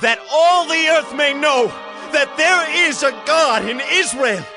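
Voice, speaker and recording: An actor playing a bold, devout young shepherd in a dramatized audio Bible, with an earnest, declamatory delivery. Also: male voice, very high-pitched, lively intonation, slow, over music, exaggerated voice. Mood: defiant, proclaiming.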